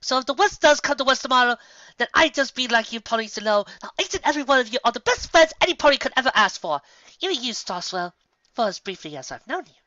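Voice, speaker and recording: Here is a refined lady pony, played by a woman reader, high-pitched and swooping. She is theatrical and eloquent, a sincere storybook character with a bright edge. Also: sing-song, fast talker, no echo, highs cut off. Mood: heartfelt, emphatic.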